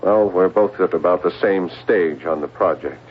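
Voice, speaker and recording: A troubled, fearful man, played by a male radio actor, speaks in a low voice. He hesitates and repeats his words as he confides. The old radio drama recording is thin and tinny, with some hiss. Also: moderate pace, natural voice. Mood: anxious, confessing. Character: troubled, fearful man on a secret psychic project. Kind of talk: 1970s radio drama acting.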